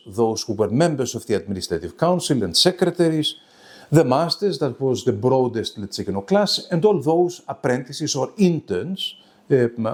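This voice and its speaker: A man presenting an academic web seminar in a formal, halting lecturing style. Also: medium pitch, a little mellow.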